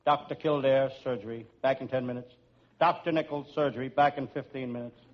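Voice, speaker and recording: An actor playing a wisecracking hard-boiled private detective in an old-time radio comedy, with dry, deadpan delivery. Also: male voice, mid-range voice, brisk, lightly acted. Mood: breezy. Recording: muffled, noisy old radio recording.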